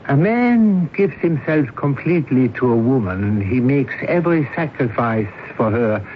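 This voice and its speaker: Through a muffled, noisy vintage radio recording comes a man acting an insistent doctor. His medium-pitched voice is deliberate and commanding, pressing each pointed question home with cool authority. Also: lively intonation, close to an everyday voice. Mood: probing, insistent. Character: probing, insistent male doctor, coolly authoritative. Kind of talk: 1970s radio drama acting.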